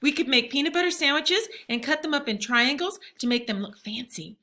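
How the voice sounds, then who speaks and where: A woman's voice: sits high, animated, a little fast, bright, forceful tone, pitched above the performer's own voice, full character voice. A woman reading a storybook as an eager, pleading young girl with folksy, childlike phrasing. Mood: excited.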